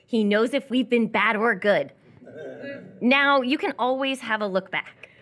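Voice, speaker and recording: A woman playing a coy, lonely young captive in live radio theatre, playful and evasive, with hesitant pauses. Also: on the high side, fairly bright tone. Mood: coy, knowing.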